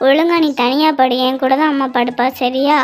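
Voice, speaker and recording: A woman's voice: high-pitched, fairly even intonation, moderate pace, close to an everyday voice. A woman voicing a bossy, selfish young elder sister in a cartoon story, demanding and taunting.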